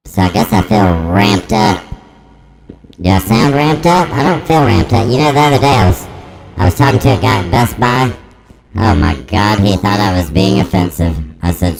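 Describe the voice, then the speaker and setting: A man in his forties rambling through a deadpan, profane improvised comedy monologue, his voice run through a pitch-shifting voice transformer. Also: vocal fry, low-quality recording. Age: 40s. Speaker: comedic host demonstrating a voice-effect device.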